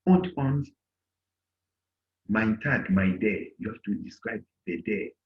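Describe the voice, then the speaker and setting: A man's voice: lowish voice, slow pace. A middle-aged man teaching a German lesson to an online class, acting out little dialogues in a raised voice. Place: indoor room on a video call. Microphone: laptop mic on a video call. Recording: lo-fi video call audio.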